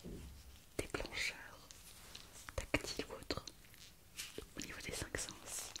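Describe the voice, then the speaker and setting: A woman playing a gentle, hesitant doctor in a whispered ASMR medical roleplay, reassuring and pausing often. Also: close to an everyday voice. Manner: soft, hesitant, reassuring, with many pauses. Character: gentle, hesitant female hospital doctor examining a patient.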